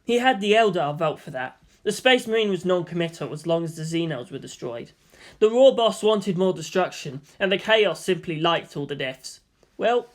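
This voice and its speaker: A narrator reading scripted fan fiction prose as an audio story. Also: medium pitch.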